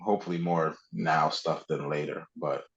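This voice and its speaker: A man's voice: a bass voice, little pitch movement, normal speed, creaky, fairly bright tone, muffled recording. A man co-hosting a podcast, chatting informally and conversationally about video games. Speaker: podcast co-host. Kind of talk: casual video game discussion.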